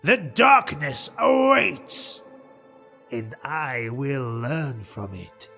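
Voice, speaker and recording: A man voicing a proud, hate-filled dark-elf nobleman, declaiming defiantly and theatrically in a fantasy audiobook. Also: mid-range voice, wildly swooping pitch, drawn-out words, slightly rough, soft background music, exaggerated voice. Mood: seething defiance.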